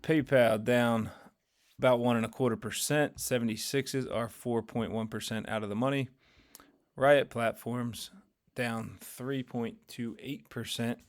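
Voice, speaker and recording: A man hosting a casual market recap, talking through trades in a rambling, conversational way with lots of fillers while his voice grows hoarse. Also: medium pitch, steady tone, measured pace. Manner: conversational, rambling, many fillers and self-corrections. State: voice getting hoarse, losing his voice. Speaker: finance channel host.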